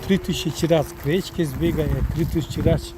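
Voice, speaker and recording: A man's voice: on the high side, fairly even intonation, fairly quick, heavy background noise. A man on a casual live stream outdoors in the countryside, reading chat aloud and spelling out words letter by letter. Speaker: live streamer from a rural village. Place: outdoors in the countryside near a river, on the move.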